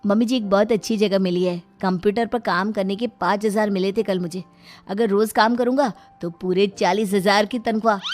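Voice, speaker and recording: A woman's voice: mid-range voice, fairly even intonation, normal speed, darkish tone, soft background music, close to an everyday voice. A woman voicing a cheeky, food-loving young son-in-law who whines and wheedles in a comic story. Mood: eager. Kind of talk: acted comedy story dialogue.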